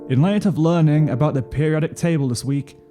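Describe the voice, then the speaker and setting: A man's voice: on the high side, brisk, natural voice. A man voicing a businesslike school chemistry teacher in a story, giving the class matter-of-fact instructions. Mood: businesslike announcing. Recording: piano music under the voice.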